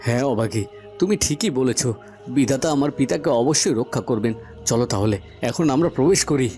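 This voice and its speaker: A man's voice: mid-range voice, expressive, a little fast, a little mellow, close to an everyday voice. A man voicing an earnest young prince in a cartoon, hesitant and easily frightened. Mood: earnest, agreeing. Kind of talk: Bengali cartoon voice acting. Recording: faint music behind. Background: faint background music.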